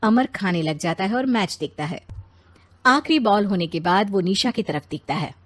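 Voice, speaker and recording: A woman's voice: mid-range voice, expressive, normal speed. A woman narrating a scripted children's moral story.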